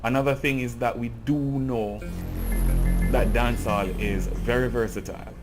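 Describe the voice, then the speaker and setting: A man's voice: mid-range voice, steady tone, normal speed, music in the background. A Jamaican man giving an earnest, impassioned cultural commentary in a video essay. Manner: earnest, grows impassioned and emphatic.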